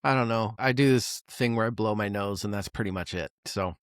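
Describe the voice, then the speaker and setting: A man's voice: lowish voice, brisk, smooth, no echo, studio quality. A man co-hosting a music podcast, chatting casually with sarcastic jokes and swearing. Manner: joking, profane, sarcastic. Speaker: podcast co-host.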